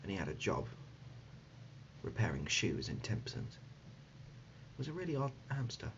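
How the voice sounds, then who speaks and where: A man playing an absurd, deadpan dad in an ASMR comedy roleplay, speaking haltingly and gently, often dropping to a whisper. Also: lowish voice. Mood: fond deadpan. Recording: dull lo-fi audio, loud background noise.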